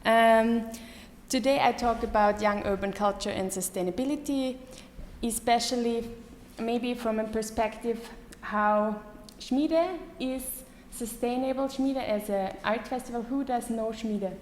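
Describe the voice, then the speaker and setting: A woman in her thirties with a German accent, giving a live lecture to an audience, pausing often with many ums and restarts. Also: fairly high pitch, darkish tone. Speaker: artist giving a talk. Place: lecture hall. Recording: echoey hall with faint room noise.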